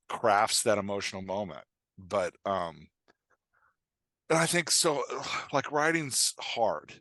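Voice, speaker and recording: A man talking casually as a podcast guest and writing coach, explaining in an informal, halting way with emphatic check-in questions. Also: mid-range voice, fairly bright tone, no room sound.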